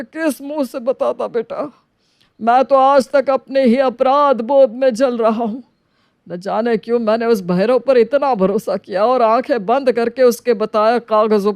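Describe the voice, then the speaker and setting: A woman's voice: fairly high pitch, darkish tone, no echo. A woman voicing an elderly father in a moral story, hurt and remorseful, pleading with emotion.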